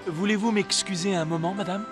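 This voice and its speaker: A man's voice: high voice, fairly even intonation, brisk, over music, lightly acted. A man playing a lovestruck, gallant young king in a fairy-tale cartoon, with a courtly, earnest and melodramatic delivery. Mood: courteous. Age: young adult. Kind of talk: cartoon voice acting.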